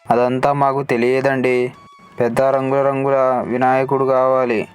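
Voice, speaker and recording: A man playing an excited, obstinate young village lad in a cartoon story, pleading and insistent. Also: mid-range voice, moderate pace, clean tone, faint music behind, lightly acted. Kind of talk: Telugu cartoon story dialogue.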